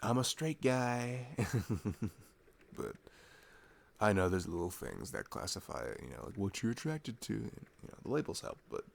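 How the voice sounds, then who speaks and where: A man acts out a sweet college-age boyfriend in an intimate ASMR roleplay. His voice sits very low with a gravelly creak. He rambles in a casual, halting way, introverted but openly affectionate. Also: big pitch swings, heavily acted. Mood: tender, musing. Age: young adult. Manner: rambling, halting, chuckling asides.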